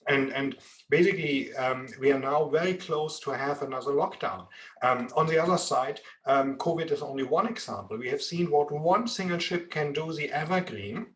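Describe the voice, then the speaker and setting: A man with a strong German accent, explaining at a measured pace in an expert interview, with frequent ums. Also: a little high, a bit bright. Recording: roomy echo.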